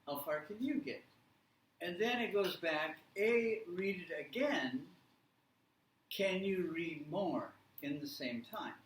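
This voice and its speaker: Slow, deliberate male teacher in echoey room. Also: sits high, lively intonation. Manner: deliberate, simple phrasing with repeated instructions and check questions. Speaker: language teacher leading a workshop. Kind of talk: live classroom instruction with simple step-by-step directions. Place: indoor classroom or presentation room. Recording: echoey room, distant mic, faint noise.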